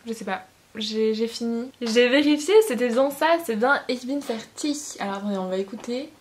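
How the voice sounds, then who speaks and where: A woman's voice: fairly high pitch, moderate pace, a little hiss or hum. A young woman vlogging in casual chat, chatty and self-mocking, laughing at herself now and then. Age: young adult. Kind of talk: casual study-with-me vlog chat.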